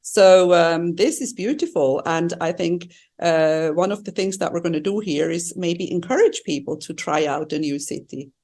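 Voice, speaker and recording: A woman's voice: lowish voice, normal speed, darkish tone. A middle-aged woman from Switzerland co-hosting a podcast in casual, conversational travel talk. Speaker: podcast co-host.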